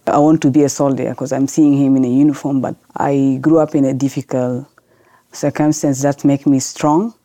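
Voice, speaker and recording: Podcast guest, woman from South Sudan, low dark voice, dry close sound, earnest and halting. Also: steady tone, dry recording. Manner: earnest, halting non-native English with restarts. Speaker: guest, lawyer. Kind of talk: podcast interview answers.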